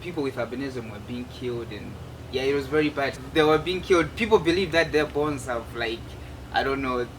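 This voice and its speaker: A man's voice: mid-range voice, fairly quick. A man, answering interview questions in an earnest, loose way full of fillers. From Malawi. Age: 20s. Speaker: guest artist. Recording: noisy room with air conditioning hum.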